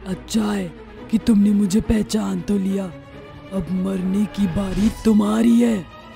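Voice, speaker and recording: A woman voicing a vengeful, mocking witch ghost in a horror story, with slow, taunting menace. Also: mid-range voice, fairly even intonation, creaky, dark tone, soft background music. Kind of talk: Hindi horror story dramatization.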